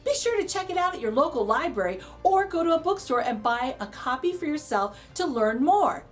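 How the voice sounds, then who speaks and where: A woman with a high voice narrates a children's picture book. Her delivery is theatrical and very lively, with big swings in pitch and dramatic pauses for emphasis. Soft music plays behind her. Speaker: children's read-aloud host.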